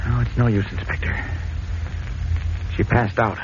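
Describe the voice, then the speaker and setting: A man's voice: mid-range voice, little pitch movement, unhurried, creaky. An actor playing an urgent, level-headed newspaperman hero, speaking insistently in 1940s radio drama style. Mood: questioning. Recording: muffled, noisy old radio recording.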